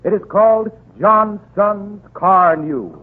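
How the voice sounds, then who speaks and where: High-voiced, brash Roman suitor. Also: male voice, lively intonation, takes it slowly, heavily acted. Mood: brash, wooing. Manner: declamatory salesman's patter. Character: brash, wooing ancient Roman man turned eager pitchman. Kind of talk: 1930s radio comedy sketch acting. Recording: tinny, noisy 1939 radio recording.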